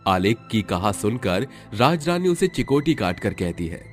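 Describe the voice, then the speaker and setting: A man's voice: lowish voice, sing-song, a little slow. A man reading scripted, dramatic storytelling narration. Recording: music under the voice.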